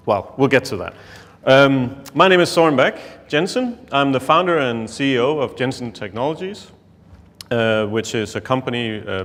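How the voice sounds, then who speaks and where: Danish man giving a relaxed talk. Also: mid-range voice. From Denmark. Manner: relaxed, hesitant, many fillers. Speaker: conference speaker. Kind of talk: casual conference talk. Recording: long hall reverb.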